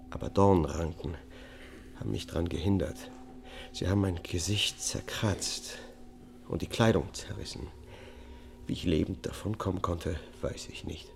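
An actor playing a troubled middle-aged man in a German radio drama, weary and evasive, speaking gravely and with restraint. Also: sits low, unhurried, pauses often, background noise. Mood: bleak confession. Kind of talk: German radio drama acting, confessional monologue.

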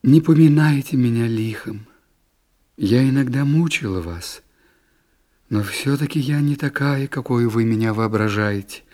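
A man voicing a remorseful young princess in a literary audiobook, speaking quietly, haltingly and with gentle insistence. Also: mid-range voice, lightly acted. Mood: wistful remorse.

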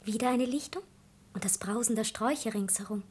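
An actress playing a dreamy young child who recounts in a simple, wondering way in a poetic radio drama. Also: female voice, on the high side, brisk, a little mellow, slightly rough. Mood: quiet recollection.

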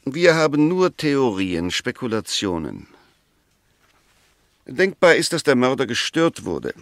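An actor in a 1960s German radio play as an eloquent, argumentative defence advocate, speaking with precise, emphatic, scornful rhetoric. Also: male voice, medium pitch, big pitch swings, slow pace, dry recording, clean studio sound. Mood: measured reasoning. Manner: rhetorical, emphatic, pointed questions, precise diction.

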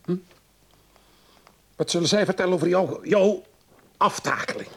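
A man's voice: sits high, moderate pace, creaky, husky, heavily acted. An actor in a radio play playing a domineering middle-aged husband who scolds his wife in a stern, condescending, exasperated way. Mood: indignant, contemptuous.

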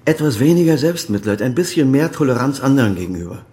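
A man's voice: mid-range voice, sing-song, fast, mellow, close to an everyday voice. An actor playing a stern, moralizing older father, reproachful and probing, in a naturalistic radio drama. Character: stern, moralizing elderly father, former chief physician.

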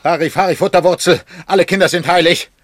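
An actor playing a guilt-ridden, hallucinating murderer who repeats himself obsessively in a poetic radio drama. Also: male voice, high voice, steady tone, quick pace, lightly acted. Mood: agitated, accusing. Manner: obsessive repetition, swinging from whispering to crying out. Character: guilt-ridden child murderer, tormented, hallucinating, crumbling in terror.

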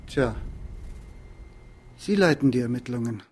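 An actor playing a stubborn, wry police detective in a radio drama, understated. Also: male voice, medium pitch, lively intonation, a little slow, a little mellow, a little hiss or hum, natural voice. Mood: sardonic.

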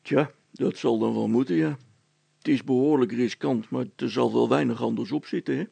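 Man acting a grumbling, husky working dad. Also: mid-range voice, moderate pace, mellow, voice pushed down. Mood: wary. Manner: colloquial, grumbling, sighing. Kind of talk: storybook character voice.

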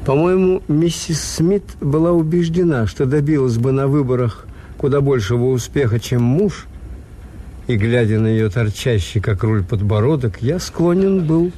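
An actor playing a detached, wry English hotelier, narrating in a measured literary manner in a Soviet radio drama. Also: male voice, medium pitch, warm tone, a little hiss or hum, natural voice. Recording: old radio play with music behind.

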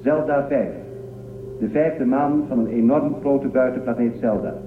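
An actor playing an earnest, analytical guardian machine in a radio drama, with precise, formal delivery. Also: male voice, medium pitch, measured pace, close to an everyday voice. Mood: analytical, factual. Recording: echoey, tinny phone-like sound with noise.

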